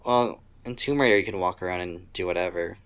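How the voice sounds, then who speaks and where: Man, deep voice, podcast co-host, casual gaming chat, informal, griping. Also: brisk, a bit bright, highs cut off. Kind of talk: casual chat about video games.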